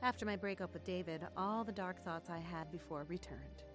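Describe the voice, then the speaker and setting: A woman's voice: lowish voice, brisk, lightly acted. Radio drama: adult actress as cynical, lonely teen girl, confessional and plain-spoken, music under the voice. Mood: bleak. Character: troubled, lonely, cynical teenage girl telling her story. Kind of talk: audio drama acting and first-person narration.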